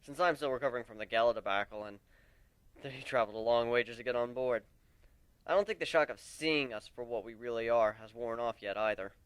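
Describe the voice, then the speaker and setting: A man narrating a dramatic first-person story in an expressive, conversational way. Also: mid-range voice, a little fast, clear, resonant tone, dry recording.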